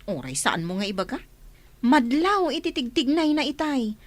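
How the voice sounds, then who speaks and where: A woman acting an excitable, inquisitive young student in a radio drama. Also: high voice, normal speed, no echo. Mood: shaken, puzzled. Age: young adult. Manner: animated, inquisitive. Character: excitable, nosy young female student friend.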